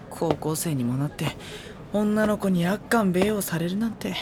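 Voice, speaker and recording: A woman playing an earnest, easily flustered high school boy in an audio drama. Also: background noise. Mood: flustered, curious. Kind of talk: Japanese audio drama acting.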